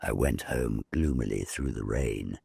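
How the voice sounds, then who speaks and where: British guy reading an old story dramatically, deep husky voice, really dry close sound. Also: measured pace, warm tone, no echo. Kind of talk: expressive dramatic audiobook narration.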